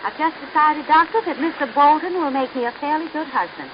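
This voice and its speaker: A woman's voice: high voice, fairly quick, natural voice. An actress playing a teasing, self-assured young society woman in an old-time radio drama, coy, playful and theatrical. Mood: breezy. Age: young adult. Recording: noisy, muffled old radio recording.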